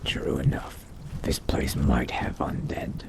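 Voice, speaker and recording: A man voicing a brooding, curious young vampire adventurer in a video game roleplay, musing to himself in a slow, hushed, gravelly whisper. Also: background noise, natural voice. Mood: musing, wary. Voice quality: very deep, breathy, gravelly whisper. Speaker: roleplay gamer.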